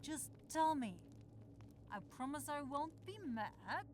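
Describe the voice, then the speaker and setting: A woman's voice: high-pitched, slow pace, slight background noise. A woman playing a bossy, grumbling demon shopkeeper with a brusque, sarcastic manner in a fantasy audio roleplay. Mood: coaxing, suspicious.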